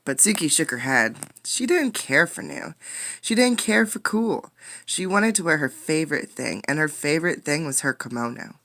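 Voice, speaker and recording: A woman's voice: sits low, moderate pace. Woman reading a picture book aloud to children. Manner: expressive, explains words and asks listeners questions. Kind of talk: interactive children's read-aloud.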